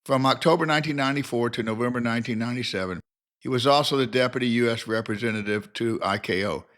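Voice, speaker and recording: A man's voice: medium pitch, fast, a bit bright. An older man narrating a scripted biographical documentary in a formal, careful reading manner.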